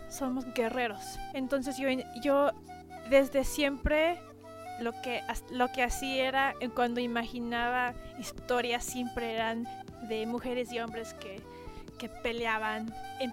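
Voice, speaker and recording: A young woman, an illustrator answering interview questions in a rambling way with many restarts. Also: fairly high pitch. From Mexico and the UK. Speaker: illustrator guest.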